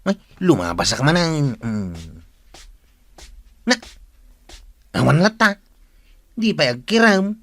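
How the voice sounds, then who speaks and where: A man's voice: a little high, huge pitch swings, slow pace, long pauses, fairly bright tone, no room sound, full character voice. An actor playing a curious, teasing nephew with a Filipino accent, exclaiming his way through a comedic radio drama. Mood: puzzled.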